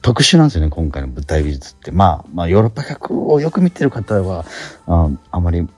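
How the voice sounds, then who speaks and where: Low, husky man chatting animatedly. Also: very animated, brisk, a little mellow, no room sound. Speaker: radio show host and stage actor. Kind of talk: casual radio chat about a theatre production. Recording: background music under voice. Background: music.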